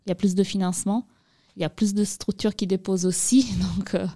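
A woman talks on a radio interview with a warm, mellow tone. Her delivery is hesitant and full of fillers and restarts. The studio sound is dry and close. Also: mid-range voice, steady tone, fairly quick, dry recording. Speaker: radio guest, youth program presenter.

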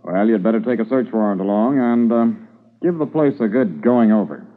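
Actor as a low, animated police superior. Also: male voice, moderate pace, natural voice. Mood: businesslike. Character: male senior police officer, brisk, authoritative superior. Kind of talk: 1950s radio drama acting. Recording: tinny narrow-band old radio recording.

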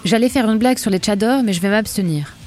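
A woman's voice: medium pitch, fast, a little mellow, faint music behind, lightly acted. An actress playing a disillusioned, sarcastic woman protester in a French audio drama, grumbling in a slangy, weary way. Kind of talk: French audio fiction acting.

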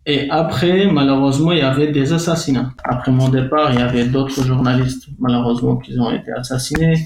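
Middle-aged man with a Kurdish accent, low gravelly voice and a dark tone, halting, interviewee. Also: steady tone, reverberant, a little hiss or hum. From Iraqi Kurdistan. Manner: halting, many hesitations and restarts. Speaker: interviewee, former journalist. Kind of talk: testimony interview.

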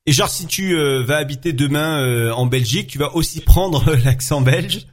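A man's voice: fairly high pitch, moderate pace. A man hosting a casual interview, joking around and using many fillers. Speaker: host.

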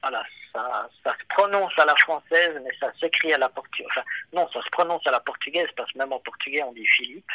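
A man with a Swiss French accent, a watchmaker being interviewed, talking casually with many pauses and restarts. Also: mid-range voice, heavy background noise. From Switzerland. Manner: hesitant, many fillers and restarts. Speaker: watchmaker and guest.

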